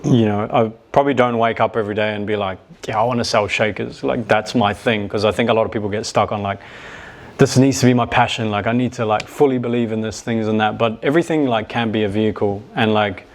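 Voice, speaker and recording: A man's voice: fairly low pitch, steady tone, fast talker. A young man from New Zealand, a guest talking casually about his business, laid-back and full of "like" and "yeah". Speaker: guest, e-commerce business owner. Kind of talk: casual interview chat.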